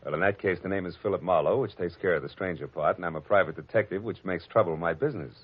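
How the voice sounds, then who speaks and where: A man's voice: low-pitched, fast talker, slightly rough. An actor playing a hard-boiled male private detective who narrates with a dry, wry delivery in a 1940s radio drama. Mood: teasing. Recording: muffled old radio broadcast.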